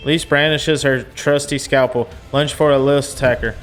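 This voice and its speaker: A man's voice: medium pitch, steady tone, moderate pace, soft background music, low-quality recording. A man reading a visual novel aloud as a game streamer, mixing narration with casual reactions.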